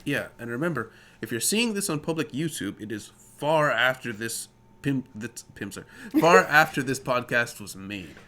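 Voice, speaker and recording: A man's voice: medium pitch, animated, normal speed, bright, forceful tone. A man hosting a casual podcast, chatty and teasing as he wraps up the episode. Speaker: podcast host. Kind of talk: casual podcast chat and sign-off.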